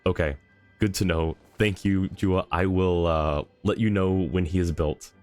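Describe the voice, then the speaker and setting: A man's voice: deep voice, steady tone, a little slow, darkish tone, faint music behind. A man livestreaming a game in a casual, chatty way, thinking aloud and talking to his chat.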